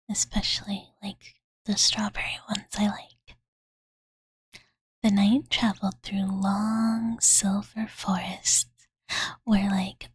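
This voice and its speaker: A woman voicing a cute, devoted dragon girl in a soft, breathy ASMR bedtime-story whisper, gentle and casual, close to the microphone. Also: mid-range voice, no echo. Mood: cute musing. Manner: slow, gentle, valley-girl filler "like", refers to self in third person. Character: cute, childlike dragon girl, devoted and slightly pouty, telling bedtime stories. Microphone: close studio condenser microphone, dry.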